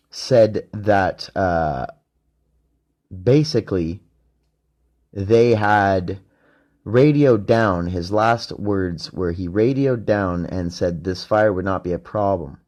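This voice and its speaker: A man's voice: low-pitched, darkish tone. A man hosting his own podcast, talking off the cuff in a rambling, profane way with many restarts. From Canada. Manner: profane, hesitant, full of restarts. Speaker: podcast host. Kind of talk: rambling monologue.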